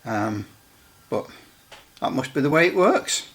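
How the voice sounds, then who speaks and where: A man giving a casual demonstration as a hobbyist, thinking aloud haltingly and adding tag questions. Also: mid-range voice, big pitch swings, fairly bright tone. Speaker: radio repair hobbyist demonstrating equipment.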